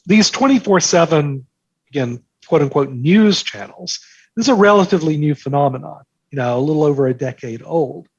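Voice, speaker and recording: A man with a fairly high, husky voice speaks as an interview guest in an explanatory, conversational way. He drives points home by repeating phrases, and the sound is slightly dull and dry. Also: brisk, darkish tone, no echo. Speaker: interview guest, markets newsletter author.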